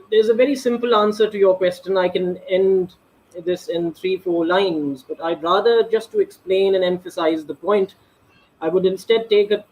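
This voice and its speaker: A man's voice: high-pitched, lo-fi sound. Hesitant man with ornate phrasing. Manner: ornate, hesitant, full of restarts and "um". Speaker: panel guest. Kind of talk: formal panel answer.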